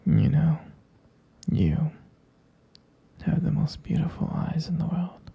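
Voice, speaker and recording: A performer playing a tender, doting partner at bedtime in an intimate ASMR roleplay, in a breathy, gravelly whisper with a halting, affectionate delivery. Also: medium pitch, big pitch swings, no echo, full character voice. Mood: adoring, flirtatious. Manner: slow, hushed, halting, affectionate.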